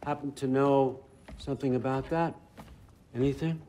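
Probing old god, acted by a man, mellow-voiced. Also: mid-range voice, steady tone, a little hiss or hum, close to an everyday voice. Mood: suspicious, probing. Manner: deliberate, pointed questioning. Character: old, scheming, probing male Norse god ruler. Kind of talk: video game voice acting.

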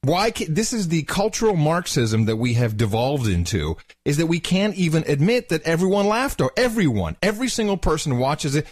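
A man's voice: on the high side, sing-song, a little fast, hardly any pauses, darkish tone, no room sound. A man co-hosting a podcast, chatting casually with a sarcastic, indignant tone and frequent laughter. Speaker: podcast co-host. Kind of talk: casual chat.

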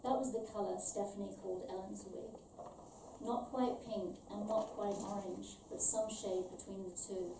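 A middle-aged woman from London, born in Ireland, reading her own short story aloud in a measured, deliberate way. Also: medium pitch, steady tone. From born in Ireland, raised in London. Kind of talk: scripted literary reading aloud. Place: small event venue with stage, indoors. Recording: roomy, distant, faint background noise.

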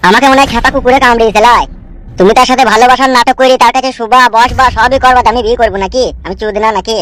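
A man's voice: very high voice, fairly even intonation, rapid-fire, a bit bright, rough recording. A man voicing a sly, mocking young village schemer in a comedy cartoon, with a taunting manner. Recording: music behind the voice.

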